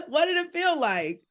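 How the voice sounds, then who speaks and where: High-voiced woman hosting a casual interview. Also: a bit bright. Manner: laughs readily, interjects reactions. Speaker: podcast host interviewing her father.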